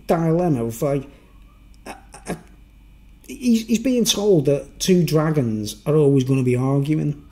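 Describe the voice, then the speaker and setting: A man's voice: fairly high pitch, mellow. A man from the Wirral with a Merseyside accent, giving an informal, digressive, unscripted monologue to viewers and often tagging on questions for agreement. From Wirral, north-west England. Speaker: vlogger.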